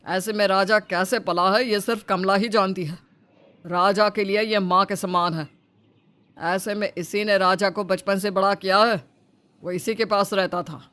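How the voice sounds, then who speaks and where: A man playing a high-voiced, stern father-in-law. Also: steady tone, unhurried, bright, forceful tone. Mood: explaining. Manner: lecturing, reproachful. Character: stern, domineering father-in-law defending the housemaid.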